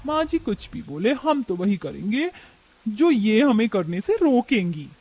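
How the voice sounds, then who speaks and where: Very high brazen young daughter-in-law acted by a man. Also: sing-song, a little slow, a little mellow, exaggerated voice. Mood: mischievous. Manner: defiant, theatrical.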